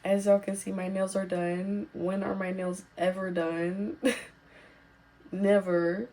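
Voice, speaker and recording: A woman hosting a podcast as casual solo girl talk, informal and reassuring, her voice slightly raspy as she recovers from being sick. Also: mid-range voice, little pitch movement, slow, dark tone. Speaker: podcast host.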